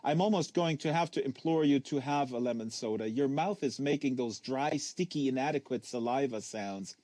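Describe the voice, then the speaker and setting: A man voicing an oddly formal, insistent male interviewer in an audiobook, probing, unctuous and deliberate. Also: mid-range voice, a little mellow, no echo, lightly acted.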